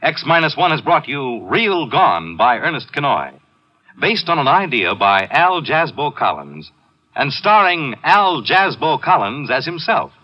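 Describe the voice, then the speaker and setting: Animated American vintage radio announcer, male. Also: a little high, a little slow. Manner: formal, emphatic. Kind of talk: 1950s radio announcing, scripted credits. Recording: muffled old radio broadcast, faint music.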